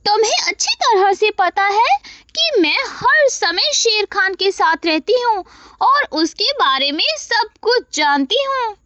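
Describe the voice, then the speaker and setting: A woman voicing a sly, scheming female fox with a cunning, wheedling manner in an animated moral story. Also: extremely high pitch, takes it slowly, dry recording, pitched above the performer's own voice, exaggerated voice.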